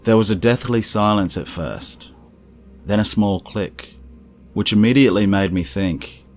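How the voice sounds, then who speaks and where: A man reading scripted first-person stories as a narrator, in a measured, serious manner. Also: on the low side, darkish tone, faint music behind. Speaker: narrator of strange true stories.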